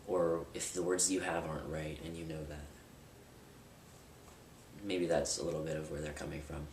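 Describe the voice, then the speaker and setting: A man's voice: rumbling low, flat delivery, normal speed. A young man talking candidly in a personal vlog, rambling a little with fillers and restarts. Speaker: vlogger. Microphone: camera mic at a distance. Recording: roomy, distant, with loud background noise.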